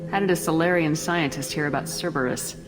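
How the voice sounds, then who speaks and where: A woman's voice: sits low, a little fast, long reverb tail, music in the background, lightly acted, low-quality recording. A woman voicing a firm, direct military commander in a video game. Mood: businesslike. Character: tough, no-nonsense female human military commander. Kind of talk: cinematic game dialogue.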